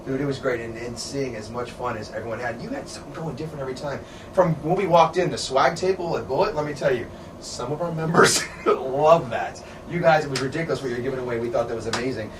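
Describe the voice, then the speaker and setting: A man's voice: medium pitch, quick pace. A middle-aged man hosting a casual interview in a hotel room, upbeat and laughing often. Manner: enthusiastic, laughs often, calls people dude. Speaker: interviewer, show host. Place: hotel room with curtains, indoors. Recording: roomy, distant, noisy camera sound.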